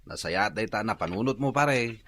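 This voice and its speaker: An actor playing a friendly, helpful neighbour in a radio drama, with an Ilocano accent. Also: male voice, on the low side, lively intonation, normal speed, a bit bright.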